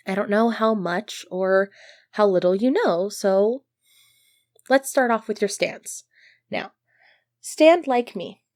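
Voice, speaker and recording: A woman's voice: mid-range voice, a little mellow, no echo, studio quality. A woman playing an earnest, easily flustered medieval knight devoted to her prince, speaking politely and formally in an ASMR roleplay. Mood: encouraging. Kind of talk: ASMR roleplay acting, formal old-fashioned speech.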